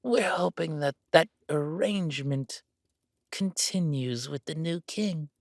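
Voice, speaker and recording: A man's voice: a little high, slow, creaky, dry recording, studio quality, voice pushed up. A man playing a smug, condescending noble envoy with a haughty, mock-polite and theatrical manner in an audio drama.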